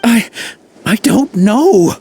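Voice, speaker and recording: A man's voice: high-pitched, animated, very slow, vocal fry, warm tone, husky, exaggerated voice. A man playing a nervous, cornered henchman with a hesitant, stammering delivery in an anime video game. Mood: nervous, defensive. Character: nervous, cornered male henchman confessing reluctantly.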